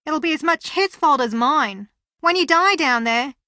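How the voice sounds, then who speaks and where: A young woman competing on a reality show in a video game, boastful and theatrical. Her voice is fairly high and very bright, with a sassy, combative edge. The sound is dry. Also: lively intonation, moderate pace, dry recording. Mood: haughty, defiant.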